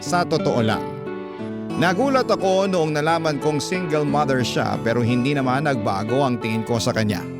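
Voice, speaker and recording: A man with a Filipino accent reading a scripted first-person love story for radio in an earnest, measured way. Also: fairly high pitch. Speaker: storyteller reading a listener's letter. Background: guitar music bed.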